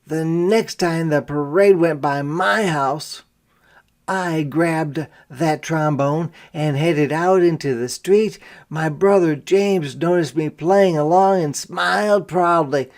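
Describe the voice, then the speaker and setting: A man reading a picture book aloud to young children as a teacher, with expressive, deliberate delivery and simple explanations. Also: fairly high pitch. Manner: dramatic, deliberate delivery with emphasized character lines.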